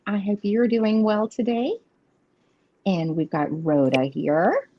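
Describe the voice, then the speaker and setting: A woman, talking in a slow, unhurried way and laughing often. She chats casually as co-host of a live tarot stream, and her voice comes through dull, lo-fi stream audio. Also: mid-range voice, lively intonation, slight background noise. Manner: chatty, giggly, Southern "y'all". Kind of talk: casual livestream chat.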